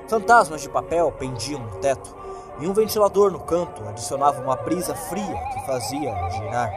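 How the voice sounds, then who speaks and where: Brazilian man narrating horror, expressive pitch. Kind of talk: scripted first-person horror story narration. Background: background music.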